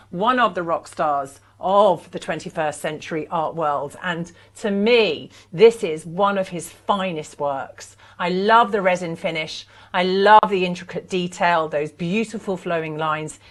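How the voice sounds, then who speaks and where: Woman, art sales presenter, enthusiastic persuasive pitch, mid-range voice. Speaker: auction presenter.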